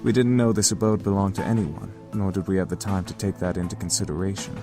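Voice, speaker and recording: A man plays a courteous demon gentleman who leads his brothers in a visual novel. His deep voice is precise and authoritative, with music clearly playing underneath. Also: steady tone, fast. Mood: polite, explanatory. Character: formal, polite leader of incubus brothers. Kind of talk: visual novel character acting.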